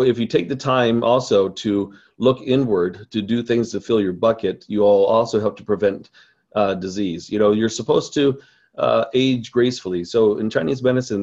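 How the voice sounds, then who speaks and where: Earnest qigong teacher on dull webinar audio. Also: male voice, lowish voice, a little mellow. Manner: earnest, rambling, with many fillers and restarts. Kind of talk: webinar presentation over slides. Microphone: voice-over on slides.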